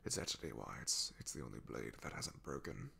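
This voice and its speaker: Man acting as a shy, gravelly, half-whispering young knight. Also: a bass voice, expressive, exaggerated voice. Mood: wistful. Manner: halting, stammering, soft-spoken. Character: young loyal royal knight, shy, humble, devoted. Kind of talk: ASMR roleplay acting.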